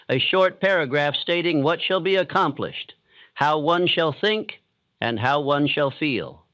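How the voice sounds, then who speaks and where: A man with a slightly high voice narrates a self-help audiobook meant for falling asleep. His delivery is calm, solemn and evenly measured. The sound is close and dry, though somewhat dull and lo-fi. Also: dry recording. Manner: calm, steady, measured rhythm.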